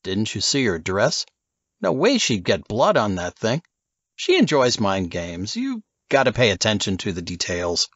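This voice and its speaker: A man's voice: on the low side, wildly swooping pitch, measured pace, fairly bright tone, no room sound, highs cut off, exaggerated voice. A man voicing a nonchalant, laconic senior agent with a laid-back, dismissive way of talking, in an audiobook thriller.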